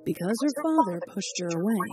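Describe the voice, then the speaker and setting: A narrator reads a dark story in a steady, even manner, with a mid-range voice. Music plays clearly behind the narration. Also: medium pitch, fairly quick. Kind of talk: scripted narration. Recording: narration over background music.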